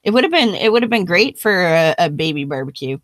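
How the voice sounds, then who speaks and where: Woman on a podcast, lowish voice, dry sound, deadpan dark jokes. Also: no echo. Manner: dark humor, halting delivery with restarts. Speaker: podcast co-host. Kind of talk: casual round-table chat.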